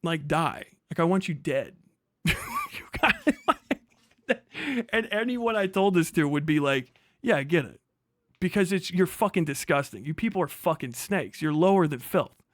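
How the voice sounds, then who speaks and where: An agitated man delivers a profane livestream rant in a voice a little higher than most men's. The sound is dry and close, and he speaks vehemently, moving between reacting and reading messages aloud. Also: animated, normal speed, no room sound. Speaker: livestream host.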